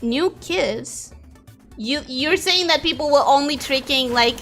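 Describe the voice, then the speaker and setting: A woman's voice: sits high, measured pace, a bit bright, faint music behind. A young woman whose first language is Spanish, chatting casually while streaming a game and reacting to chat.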